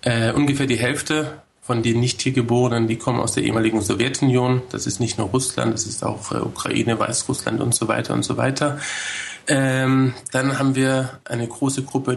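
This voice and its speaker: Gravelly-voiced man on a call line. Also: mid-range voice, slight background noise. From Germany. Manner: hesitant, many fillers, thoughtful. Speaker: guest, tour guide. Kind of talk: interview over video call. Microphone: video call from home.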